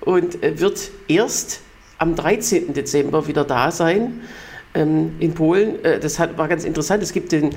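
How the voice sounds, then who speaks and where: A man's voice: on the high side, normal speed, a little mellow. A man from Germany co-hosting a political podcast, explaining news at length with many fillers and restarts. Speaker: podcast co-host. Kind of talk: casual political chat.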